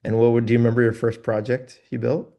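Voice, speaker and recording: A man's voice: mid-range voice, little pitch movement, fast talker, vocal fry, dark tone. A man hosting a podcast, chatting with a guest in an upbeat, friendly interviewer's way. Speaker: podcast host.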